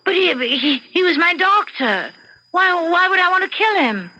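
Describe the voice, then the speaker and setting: A woman's voice: very high voice, very animated, moderate pace, sharp tone, full character voice. An actress playing an unhinged, murderous married woman in an old-time radio drama, theatrical and intense. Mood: distraught. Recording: dull vintage radio recording.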